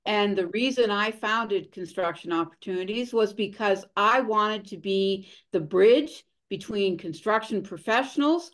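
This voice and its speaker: Female guest, middle-aged, mid-range voice, measured and earnest, video-call sound. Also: medium pitch. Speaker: guest, consultant. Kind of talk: interview answers.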